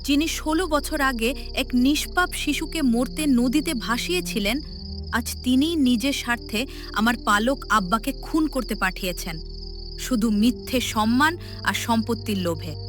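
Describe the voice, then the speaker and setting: A woman's voice: a little high, animated, normal speed, close to an everyday voice. A woman playing a devoted, brave teenage village girl in a cartoon story, with earnest, emotional delivery. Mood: bitter, defiant. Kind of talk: melodramatic cartoon story acting. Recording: loud music behind the voice.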